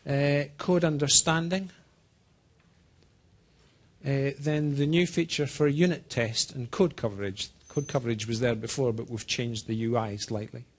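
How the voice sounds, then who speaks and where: A man with an accent that is part Scottish, part American, speaks at a middling pitch. He presents technical material informally, as a program manager would to a small group. His delivery is deliberate and a little halting, and he invites interaction. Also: steady tone. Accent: Scottish-American mix. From Scotland.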